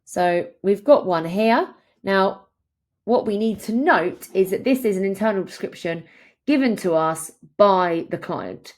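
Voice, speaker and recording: A woman in her thirties presenting a software tutorial as a conversational, step-by-step walkthrough. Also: mid-range voice, animated, a little slow.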